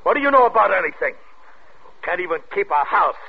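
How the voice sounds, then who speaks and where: An actor playing a paranoid, unstable husband, obsessive and repetitive, in an old-time radio drama. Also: male voice, high-pitched, big pitch swings, fast, creaky, heavily acted. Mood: suspicious, agitated. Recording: tinny, noisy vintage radio broadcast.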